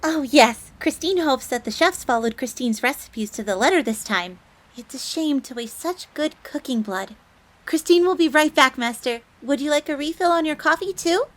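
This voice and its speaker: A woman's voice: high-pitched, lively intonation, normal speed, fairly bright tone. A woman playing a perky, devoted young fox maid in an audio roleplay, with a cutesy, eager manner. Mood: eager, dutiful. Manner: cutesy, eager, refers to herself in third person. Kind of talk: scripted audio roleplay acting.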